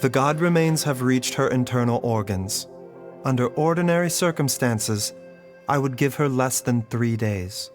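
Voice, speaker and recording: A composed physician, voiced by a man, with a mid-range, even tone, calm and precise while faint game music plays behind. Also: medium pitch, a little slow, natural voice. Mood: concerned. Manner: soft-spoken, calm, clinical. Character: gentle, composed male doctor. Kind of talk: video game cutscene voice acting.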